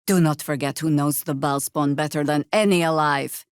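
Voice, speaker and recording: A woman's voice: low-pitched, steady tone, moderate pace, clean tone, dry recording, natural voice. A woman playing an older, seasoned druid warrior in a fantasy video game, wry, dry and commanding in manner. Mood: grave, knowing.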